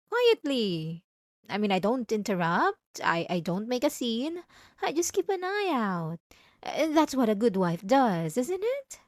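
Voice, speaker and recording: Woman playing yandere wife, possessive and defensive, unhurried halting delivery, close dry sound, coaxing, ASMR roleplay. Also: fairly high pitch, no room sound. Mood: evasive, cornered. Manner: stammering, coaxing, halting with nervous self-corrections. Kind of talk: ASMR roleplay drama, intimate monologue to listener.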